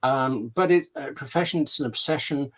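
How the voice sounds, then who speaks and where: A man's voice: mid-range voice, moderate pace, fairly bright tone. Candid man talking as a webinar guest. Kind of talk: conversational interview answers. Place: online webinar.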